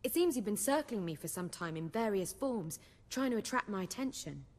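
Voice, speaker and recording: A woman's voice: mid-range voice, expressive, brisk, a little mellow, close to an everyday voice. Game role, woman acting: young female bard, medium register, elegant wry phrasing, long echo on the voice. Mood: pensive. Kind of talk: video game cutscene dialogue. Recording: long hall-like reverb.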